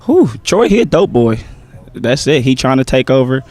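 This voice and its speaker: A young man, a stage actor, answering interview questions in a relaxed, slangy way with many pauses and "um"s. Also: medium pitch. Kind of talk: casual interview chat.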